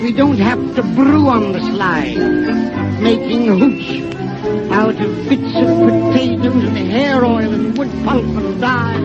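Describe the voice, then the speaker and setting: An actor playing a jolly, boastful European grand duke in 1930s radio musical comedy. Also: male voice, extremely high pitch. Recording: dull 1932 radio recording, loud music.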